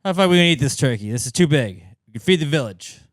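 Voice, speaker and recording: A man's voice: on the high side, expressive, rapid-fire, no echo. A man co-hosting a podcast, talking in casual comedic banter with slangy, sweary phrasing. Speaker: podcast co-host.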